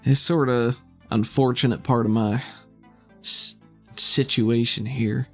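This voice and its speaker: A man's voice: mid-range voice, steady tone, takes it slowly, creaky, soft background music. Man voicing a drawling streetwise invisible spy woman. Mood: matter-of-fact. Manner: drawling, slangy, wry. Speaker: game master. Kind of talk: tabletop roleplay in character.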